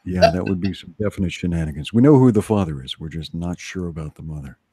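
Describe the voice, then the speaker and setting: A man's voice: low-pitched, fast, dark tone, husky. A man co-hosting a casual livestream, teasing and joking dryly as he chats. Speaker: livestream co-host. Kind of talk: casual bantering chat.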